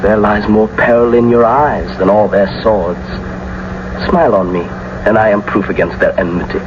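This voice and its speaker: A man's voice: on the low side, steady tone, normal speed, natural voice. An actor playing an impetuous young nobleman in love, speaking with poetic fervor in a classical radio drama. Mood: ardent. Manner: poetic, fervent, theatrical delivery. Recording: muffled, noisy old radio broadcast recording.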